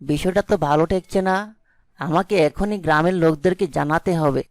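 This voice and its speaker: A man's voice: a little high, moderate pace, no echo, close to an everyday voice. Man playing a suspicious village neighbour, dry sound. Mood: alarmed, suspicious. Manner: questioning, exclaiming. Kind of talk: animated fairy-tale voice acting.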